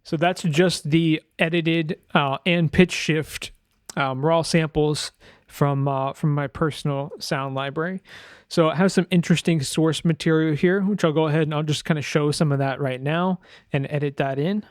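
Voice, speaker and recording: A man's voice: fairly high pitch, fairly even intonation, normal speed, no room sound, clean studio sound. A man talking casually through a screen-share tutorial as a sound designer, informal and loose, thinking aloud as he goes.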